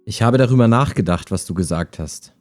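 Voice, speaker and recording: A man's voice: on the low side, animated, a little fast, over music, close to an everyday voice. A man playing a skeptical, wistful chatbot that talks like a human in an intimate radio play, understated and hesitant. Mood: pensive.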